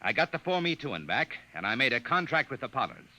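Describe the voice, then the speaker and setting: A man playing a determined, wry, stubborn space agent in 1950s radio drama, brisk and plain-spoken. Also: medium pitch, very animated, bright, forceful tone. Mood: defensive, explaining. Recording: noisy vintage 1950s radio recording.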